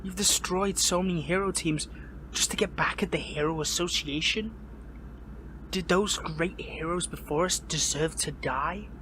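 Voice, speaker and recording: A young man playing a captured hero in an audio roleplay, earnest, conflicted and lovelorn, with stammering, pleading, breathy delivery. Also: fairly high pitch, fairly bright tone, slight background noise, low-quality recording. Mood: shocked, accusing. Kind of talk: dramatic audio roleplay acting.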